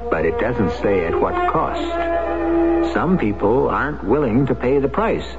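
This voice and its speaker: A man hosting a radio mystery show, giving a measured, solemn scripted narration. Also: mid-range voice, huge pitch swings. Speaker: show host. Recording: dull lo-fi radio broadcast with music.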